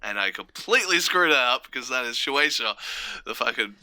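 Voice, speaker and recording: A man's voice: medium pitch, expressive, fairly quick, bright, forceful tone, dry recording. A man from Australia, a voice actor, answering questions casually in an interview.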